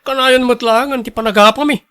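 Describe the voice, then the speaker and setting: An actor playing a quarrelsome young husband in a radio drama, speaking defensively. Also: male voice, extremely high pitch, fairly even intonation, fairly quick, pushed and bright, clear, resonant tone.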